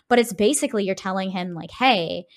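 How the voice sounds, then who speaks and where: A woman's voice: medium pitch, brisk. A woman co-hosting a podcast, thinking aloud informally as she analyses in casual discussion. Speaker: podcast host.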